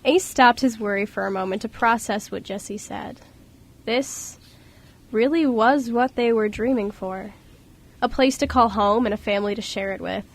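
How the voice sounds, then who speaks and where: A woman's voice: on the high side, moderate pace. A woman reading scripted storybook narration as the narrator of an amateur radio drama.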